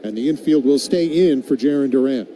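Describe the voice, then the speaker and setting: A man's voice: mid-range voice, brisk, warm tone. A man doing live radio play-by-play commentary of a baseball game as the announcer. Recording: background noise of an outdoor ballpark.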